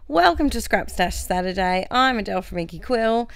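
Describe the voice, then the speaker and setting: A young woman with a croaky voice from a cold, chatting cheerfully through a scrapbooking voice-over. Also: medium pitch, expressive, moderate pace, no room sound. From Australia. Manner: chatty, cheerful, self-mocking. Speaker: craft channel host.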